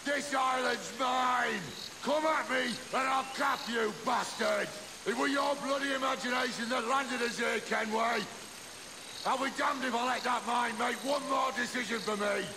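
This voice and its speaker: An actor playing a deranged, territorial castaway pirate in a video game, raving and croaking. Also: male voice, very high-pitched, fairly even intonation, unhurried, over music, heavily acted. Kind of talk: dramatic video game acting. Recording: heavy hiss, long echo, outdoor night noises.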